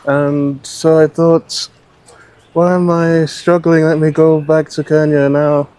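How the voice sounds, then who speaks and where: A middle-aged man from Scotland, talking calmly and deliberately as a guest in a casual outdoor interview. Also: on the high side, smooth, rough recording. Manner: slow, measured and even. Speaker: rideshare driver, interview guest. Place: outdoors beside a car, after rain.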